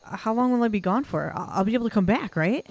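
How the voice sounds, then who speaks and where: A woman's voice: on the high side, animated, fast, a little mellow, voice pushed up. A woman playing a modest, deferential male gnome soldier who speaks hesitantly in a tabletop game. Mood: anxious, deferential.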